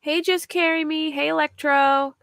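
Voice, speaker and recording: A woman's voice: high voice, fairly even intonation, moderate pace, vocal fry, a bit bright, dry recording, studio quality. A young woman with a Philadelphia accent, casually reading out a crime story and chatting with her livestream viewers. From Philadelphia area. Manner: chatty, reads a story aloud while answering chat, laughs often. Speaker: true crime livestream host.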